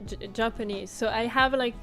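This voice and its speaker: A woman's voice: fairly high pitch, fairly even intonation, normal speed, a bit bright, soft background music. Chatty woman, Italian native speaker. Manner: chatty, laughs easily. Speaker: co-host. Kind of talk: casual chat.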